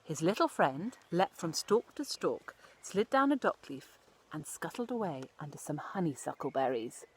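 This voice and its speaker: Animated woman reading a children's story. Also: deep voice, normal speed, a little hiss or hum. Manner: animated, dramatic delivery for children. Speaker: author reading own children's book. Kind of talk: expressive children's storybook narration.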